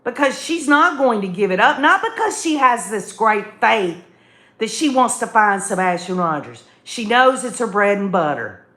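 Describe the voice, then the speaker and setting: A woman's voice: medium pitch, lively intonation, normal speed, bright, forceful tone. A woman hosting an opinionated live commentary, speaking emphatically and repeating phrases as she addresses listeners in a folksy, direct way. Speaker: livestream host commentator. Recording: echoey room sound.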